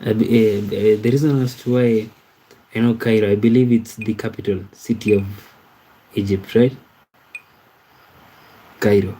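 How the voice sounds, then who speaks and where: A man from Kenya chats casually on a livestream. His voice sits low and warm, and it barely rises or falls. He reads viewer comments aloud and stumbles over his words as he answers them. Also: steady tone, poor audio. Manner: slow, halting, repeats words and restarts while reading comments aloud. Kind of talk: casual live-stream chat.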